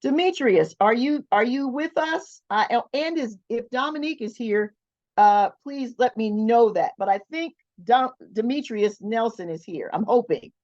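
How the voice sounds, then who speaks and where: A woman's voice: a little high, expressive, a little slow, a little mellow, no room sound. A woman moderating a political meeting, introducing speakers in an upbeat, emphatic way. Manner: upbeat, emphatic, keeps things moving. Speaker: event moderator. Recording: dull, dry sound.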